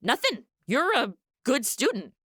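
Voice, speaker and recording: A woman's voice: high-pitched, wildly swooping pitch, measured pace, a bit bright, dry recording, studio quality, exaggerated voice. A woman playing a worldly, sardonic young influencer with slangy modern delivery in a comic audio drama. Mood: flustered, sassy.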